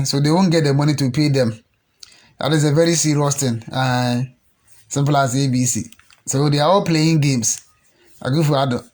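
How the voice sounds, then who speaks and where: A middle-aged man with a Ghanaian accent giving opinionated political commentary, rambling with many fillers and repeated words. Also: fairly high pitch. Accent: Ghanaian English.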